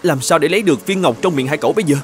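A man's voice: fairly high pitch, expressive, rapid-fire, background noise, natural voice. A man voicing a brave, polite young knight in a children's cartoon. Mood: curious, determined. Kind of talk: children's cartoon fairy-tale dubbing.

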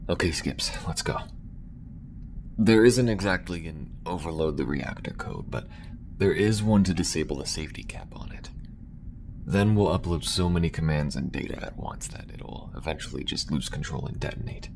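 A man playing a gruff, urgent space rebel in an audio roleplay, talking in a terse, informal way. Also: extremely low pitch, moderate pace, darkish tone, a little hiss or hum. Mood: focused.

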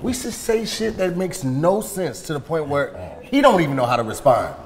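An actor in his thirties playing an animated male office worker in a casual comedy sketch. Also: a little high, moderate pace. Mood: animated, conspiring. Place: large open-plan office, indoors. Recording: faint office background noise.

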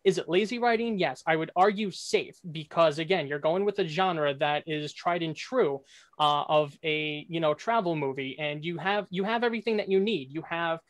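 A man's voice: a little high, moderate pace, no echo. A man debating off the cuff, explaining his reasoning and often checking in with "right?". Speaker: debate contestant. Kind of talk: unscripted debate argument.

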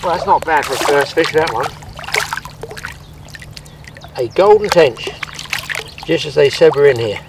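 A man's voice: on the high side, animated, moderate pace, creaky, noisy background. A man in his sixties giving a plain-spoken, practical angling demonstration outdoors on a lake bank, talking while he works with his hands. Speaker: fishing instructor.

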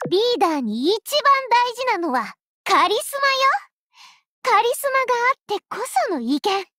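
A woman's voice: very high-pitched, a bit bright, no echo, studio quality, full character voice. A woman voicing a haughty, girlish young fleet leader in an anime game, pompous and commanding but easily flustered. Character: haughty young warship girl, self-styled charismatic fleet leader. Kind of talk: Japanese anime game character voice lines.

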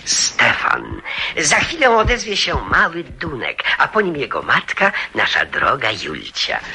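A playful uncle in a radio drama, making a formal announcement. His intonation swings very widely. The recording is clearly noisy and clicking, like an old phonograph cylinder. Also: fairly high pitch, measured pace, full character voice. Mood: formal excitement. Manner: announcing, animated. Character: uncle Stefan, playful family man recording a wax cylinder.

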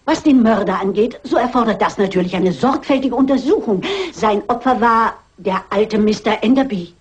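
An actress playing a shrewd, stubborn elderly lady detective in a German crime radio drama, brisk and precise. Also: fairly high pitch, husky. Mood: insistent. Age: older. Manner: brisk, self-assured, precise.

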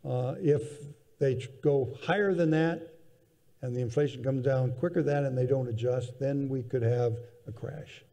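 Older male panel guest, gravelly and measured, echoing hall. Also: mid-range voice, dark tone. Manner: measured, thoughtful, with frequent restarts. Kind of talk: conference panel discussion. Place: large conference hall stage, seated in armchair. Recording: reverberant convention hall.

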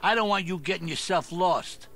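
A man's voice: high-pitched, moderate pace, very noisy. A man playing an aging, cocky mafia boss in a video game cutscene. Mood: commanding.

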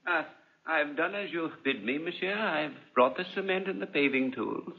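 A man's voice: fairly high pitch, normal speed, a little hiss or hum, lightly acted. An actor playing a humble, hesitant, deferential tradesman in a 1940s radio drama. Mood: uneasy obedience. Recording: dull, band-limited 1940s radio recording.

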